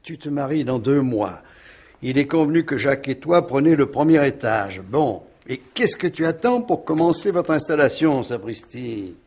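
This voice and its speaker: Urbane, defiant murder suspect, husky and animated. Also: male voice, medium pitch, moderate pace. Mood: exasperated. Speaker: actor in a radio mystery play. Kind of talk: 1950s French radio drama acting.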